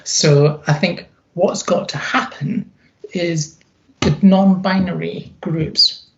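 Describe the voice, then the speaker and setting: Gravelly, deep-voiced middle-aged woman. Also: highs cut off. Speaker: interview guest, activist. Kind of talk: reflective conversational interview.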